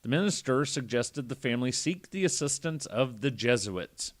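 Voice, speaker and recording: A man's voice: mid-range voice, lively intonation, moderate pace. A man hosting a podcast, reading a story aloud and breaking off for casual, irreverent, sweary asides. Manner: irreverent, profane. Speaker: podcast host.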